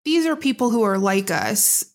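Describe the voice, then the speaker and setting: A woman's voice: mid-range voice, very animated, moderate pace. A woman hosting an interview, setting up questions in a conversational way. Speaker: interviewer, host.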